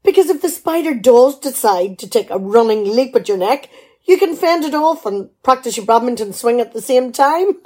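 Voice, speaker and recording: A woman's voice: sits high, expressive, moderate pace, warm tone, slightly rough. A middle-aged woman, playing a shrewd, teasing, practical wife in a radio play. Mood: amused, practical. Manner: dry, bantering, probing. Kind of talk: amateur radio play dialogue.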